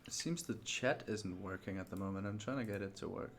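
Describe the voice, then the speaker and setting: A man's voice: on the low side, fairly quick, slight background noise. A man hosting a sim racing livestream in casual, chatty talk, polite and a little self-deprecating. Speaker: livestream host and sim racer. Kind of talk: casual livestream gaming chat.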